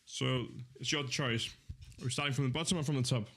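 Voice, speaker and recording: A young man in his twenties, chatting casually on a live stream in a voice of ordinary, middle pitch. He rambles easily, fills gaps with ums, and corrects himself mid-sentence as he goes. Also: steady tone, fairly quick, fairly bright tone. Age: 20s. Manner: rambling, easygoing, with many fillers and self-corrections.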